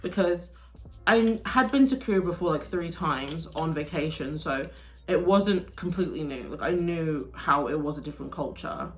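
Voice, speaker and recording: A young woman from the UK talks through a casual Q&A while doing her makeup, rambling and filling her sentences with "like." Her voice sits low and deep for a woman. Soft music plays quietly behind her. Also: expressive, normal speed. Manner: chatty, lots of "like" and restarts. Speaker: vlogger, teacher in Korea. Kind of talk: casual get-ready-with-me Q&A.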